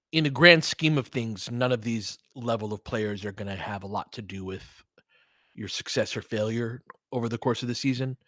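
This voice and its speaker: Male host for fan-side basketball play-by-play. Mid-pitched, conversational and informal, dry recording with no room sound. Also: fairly bright tone, no echo. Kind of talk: casual live basketball commentary, chatting with listeners.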